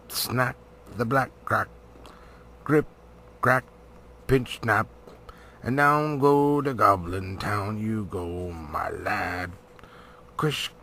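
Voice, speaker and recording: A man's voice: medium pitch, creaky, sharp tone, slight background noise, full character voice. A man voicing cruel, taunting storybook goblins, chanting rhythmically in short punchy bursts.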